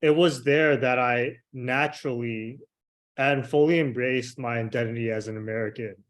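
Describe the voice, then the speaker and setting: A young man from Hong Kong, raised in Miami, giving a measured prepared speech over a video call. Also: medium pitch, fairly even intonation, fairly bright tone. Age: young adult. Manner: slow, measured delivery with pauses. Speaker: club member giving a speech. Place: home room with shelves. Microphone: video call webcam.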